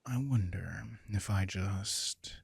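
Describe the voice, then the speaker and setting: A man playing a teasing, possessive and protective vampire king in an intimate audio roleplay, speaking to the listener in a husky, condescending, amused way. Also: sits low, a little hiss or hum, full character voice. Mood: smug, coaxing. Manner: condescending, amused, slow coaxing asides.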